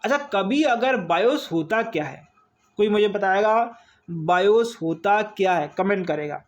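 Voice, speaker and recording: A man's voice: high-pitched, lively intonation, measured pace, dull audio. A man with an Indian accent giving an informal exam-prep lesson, reading out quiz questions and explaining them with repeated check-in questions. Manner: repetitive, checks in with listeners using questions and frequent tags. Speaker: online exam-prep teacher.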